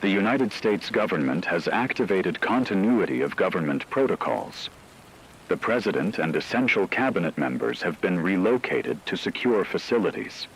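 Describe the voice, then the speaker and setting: A man playing a calm, grave radio news anchor, reading a scripted report in a measured, formal, factual way. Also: sits low, a little hiss or hum, rough recording.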